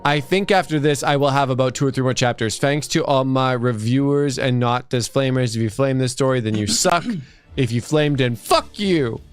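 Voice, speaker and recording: A man's voice: mid-range voice, normal speed, clean tone. A man co-hosting a livestream, reading a story aloud for comedy and breaking off into joking banter. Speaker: livestream co-host reading fan fiction aloud. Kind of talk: comic dramatic reading mixed with casual banter. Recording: music behind the voice.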